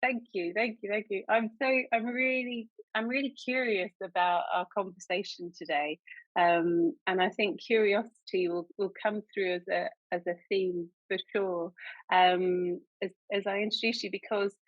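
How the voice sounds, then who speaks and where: A woman's voice: medium pitch, fairly even intonation, normal speed, no echo. A woman hosting a podcast, introducing her guest in an enthusiastic, chatty way with many restarts. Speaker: podcast host. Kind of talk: podcast interview. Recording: dull, dry sound.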